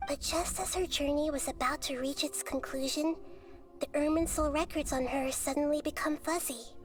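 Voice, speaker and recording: A woman playing a calm, wise young girl goddess in a video game, speaking in measured, articulate explanations. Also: sits high, natural voice. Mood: calm, grave. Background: background music.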